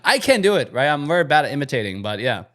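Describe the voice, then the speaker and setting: Animated man with lively pitch, blunt. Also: quick pace. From China. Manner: blunt, animated, slightly non-native phrasing. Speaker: reaction video host. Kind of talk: casual reaction commentary.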